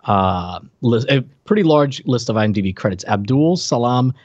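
A man's voice: mid-range voice, expressive, moderate pace, a little mellow, no room sound, highs cut off. A man co-hosting a podcast, chatting casually and jokingly in a conversational way. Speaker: podcast co-host. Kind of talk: casual chat reviewing old games.

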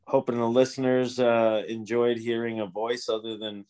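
A man's voice: mid-range voice, little pitch movement, moderate pace, darkish tone, clear, resonant tone. A man with a nasal voice and an upstate New York accent, hosting a podcast in easygoing, self-deprecating chat. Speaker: podcast host. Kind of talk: casual chat.

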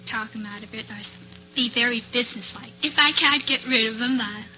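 A woman's voice: on the high side, a little fast, pushed and bright. A woman, a telephone operator, chatting hesitantly and giggling as a quiz show contestant. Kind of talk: unscripted quiz show chat. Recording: muffled old-time radio recording, faint music.

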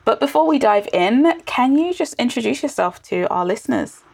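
A woman's voice: fairly high pitch, animated, moderate pace, gravelly, darkish tone, husky. A woman hosting a podcast, asking curious, thoughtful questions. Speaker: podcast host. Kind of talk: casual interview chat.

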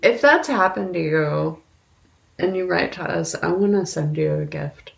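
Female podcast co-host: unhurried, creaky low-ish voice, blunt and opinionated in casual film chat.

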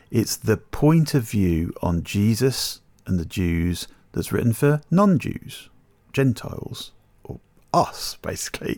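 A man co-hosting a podcast, explaining things conversationally in a measured way, sometimes posing rhetorical questions. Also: sits low, vocal fry, a little mellow, no echo. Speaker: podcast co-host.

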